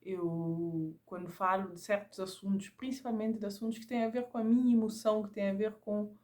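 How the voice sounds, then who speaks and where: A woman's voice: mid-range voice, fairly even intonation, normal speed, darkish tone. A Cape Verdean woman whose first language is Creole, speaking Portuguese with a Cape Verdean accent in a reflective, casual vlog, thinking aloud and often repeating words as she searches for them. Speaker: vlogger.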